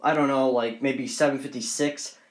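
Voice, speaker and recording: A man with a medium-pitched voice gives an informal show-and-tell of his collection. He rambles and repeats himself as he goes, keen on the small details. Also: steady tone, moderate pace. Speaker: hobbyist collector presenting radios on video. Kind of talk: casual unscripted show-and-tell vlog.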